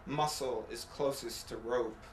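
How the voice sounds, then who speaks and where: A man reads poetry at a slow, deliberate pace, setting short phrases apart with pauses. His voice sits at a middle pitch. The room is echoey, with faint noise behind him. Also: fairly even intonation. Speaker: poet reading own work. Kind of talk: poetry reading. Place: apartment room at a live reading.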